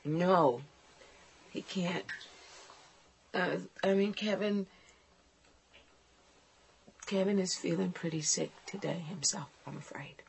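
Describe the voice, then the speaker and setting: A woman's voice: on the low side, slow pace, gravelly, long pauses, breathy edge, full character voice, low-quality recording. A woman playing a nervous, evasive woman. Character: frightened woman covering for a missing man. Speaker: woman answering a phone call.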